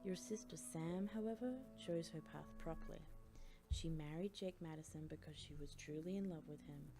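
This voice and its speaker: A woman playing a stern, disapproving academy professor in an audiobook drama, breathy and creaky, speaking in a measured, grave way. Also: low-pitched, music in the background. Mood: approving.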